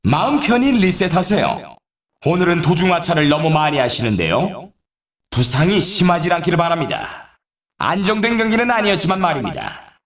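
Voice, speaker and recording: An actor playing an excitable, teasing male snowboard race announcer in a video game, with polite, formal phrasing and playful sarcasm. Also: on the high side, moderate pace, reverberant, recorded from a distance. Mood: teasing, critical. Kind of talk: scripted game sports commentary.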